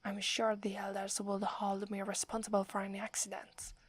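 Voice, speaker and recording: A woman with an Italian accent voicing a proud young werewolf woman, whispering softly close to the mic and stammering when flustered. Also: medium pitch, completely flat delivery, moderate pace, husky, no echo, lightly acted. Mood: stern, guarded. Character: proud young werewolf woman, gruff but secretly fond. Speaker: ASMR roleplay performer. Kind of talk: whispered ASMR roleplay.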